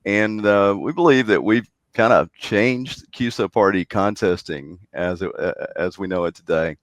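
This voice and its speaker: A man's voice: on the low side, a little slow, dry recording. A man speaking as an interview guest, explaining things in a conversational way. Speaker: interview guest, contest program director.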